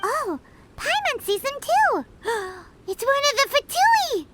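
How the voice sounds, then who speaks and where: A woman voicing a tiny, excitable, feisty childlike fairy companion in a video game, exclaiming and speaking of herself in the third person. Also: very high voice, slow pace, noisy background, heavily acted. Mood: excited. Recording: music behind the voice.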